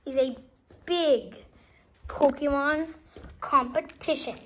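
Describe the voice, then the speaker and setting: A female performer voicing an excitable, boastful toy animatronic with showy, emphatic delivery in a homemade play. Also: high voice, slow. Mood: boastful, excited.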